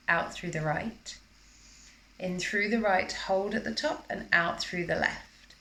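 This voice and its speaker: A woman teaching yoga, guiding a breathing practice in a calm, measured, instructional manner during a live class. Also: lowish voice. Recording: roomy, echoing live class recording.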